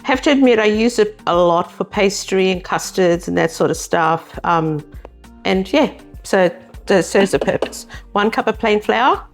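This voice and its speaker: A woman's voice: medium pitch, lively intonation, measured pace, soft background music. A middle-aged South African woman co-hosting a home cooking show, chatting through each step as she works. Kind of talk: casual cooking demonstration.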